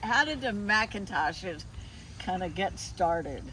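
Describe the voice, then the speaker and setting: Slow-talking woman interviewer, noisy recording. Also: fairly low pitch, expressive. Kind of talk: reflective conversational interview.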